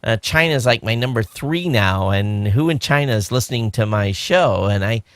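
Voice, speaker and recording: A man with a fairly low voice co-hosts a casual podcast chat, rambling along with plenty of "you know"s. The sound is dry and close, with no room echo. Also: moderate pace. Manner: relaxed, rambling with frequent fillers. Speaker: podcast co-host.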